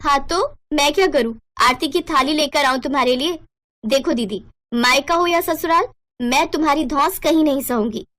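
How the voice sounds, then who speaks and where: A high-pitched, sharp-tongued younger sister-in-law played by a woman storyteller from a family bedtime story. She is jealous and argumentative, always indignant and ready to accuse. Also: normal speed. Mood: defiant, indignant.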